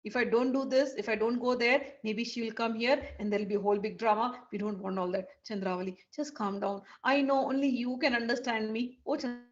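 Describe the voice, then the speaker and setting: A woman voicing a charming young man who pleads and sweet-talks a jealous lover, soft and repetitive. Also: on the high side, steady tone, fast talker, hardly any pauses, muffled recording, lightly acted. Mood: soft, soothing. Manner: soft coaxing, repeating himself.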